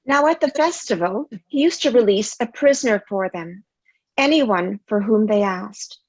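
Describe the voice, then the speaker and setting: Woman, mid-pitched voice, scripted scripture reading, church lay reader, dry recording. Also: animated, normal speed, slightly rough, no room sound, poor audio.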